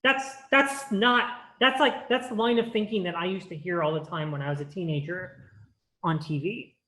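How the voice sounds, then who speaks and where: A woman's voice: on the low side, moderate pace, a bit bright, poor audio. Earnest woman presenting over a video call. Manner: earnest, explanatory, with restarts. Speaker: workshop presenter. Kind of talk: online conference talk.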